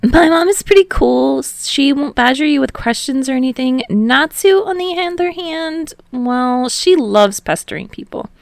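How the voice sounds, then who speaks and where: Woman voicing a bubbly, high-pitched eager young man. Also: unhurried, gravelly, few pauses, dry recording, full character voice. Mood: chatty, reassuring. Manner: energetic, hopeful, gushing. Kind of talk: fan fiction audiobook character voice.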